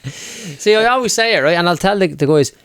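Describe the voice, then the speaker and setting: A man's voice: high-pitched, expressive, fairly quick, fairly bright tone, clear, resonant tone, no echo. Young Dublin man, casual host, chatty, dry close recording. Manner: chatty, swears, laughs easily. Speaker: podcast host. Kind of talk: casual interview chat.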